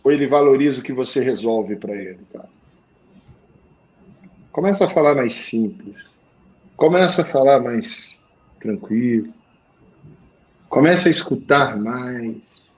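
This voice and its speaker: A man with a Portuguese accent coaching accountants on sales, blunt and slangy, swinging to loud emphasis. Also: medium pitch, lively intonation, measured pace, long pauses, rough recording. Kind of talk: live talk show advice.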